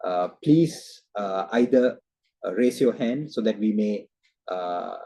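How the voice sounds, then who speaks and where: A man giving a formal investor presentation as a company executive on a video call, halting often with hesitant filler sounds. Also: on the low side, expressive, warm tone. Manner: hesitant, halting delivery with frequent uhs.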